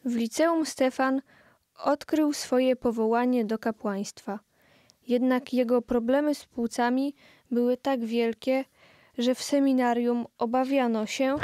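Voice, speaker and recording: A woman tells a scripted story as part of a radio play. Her voice sits a little high and is recorded dry, with very little room. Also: dark tone, dry recording. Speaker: narrator in an amateur radio play.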